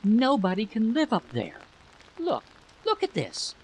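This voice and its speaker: A man's voice: sits high, wildly swooping pitch, unhurried, voice pushed up, heavily acted. A man voicing an anxious, bitter wife in a story reading, pleading emphatically over a noisy recording. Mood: frightened, pleading. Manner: pleading, emphatic, repeating questions.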